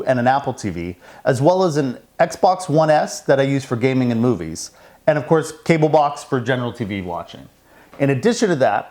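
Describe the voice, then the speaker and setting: A man's voice: fairly high pitch, a little mellow. A middle-aged American man presenting a semi-scripted product demonstration indoors at a house.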